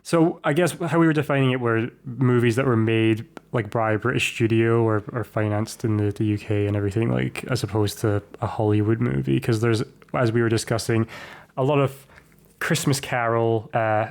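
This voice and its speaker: A man's voice: medium pitch, fairly even intonation, normal speed, vocal fry. A man co-hosting a podcast in a casual film discussion, given to joking asides. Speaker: podcast co-host.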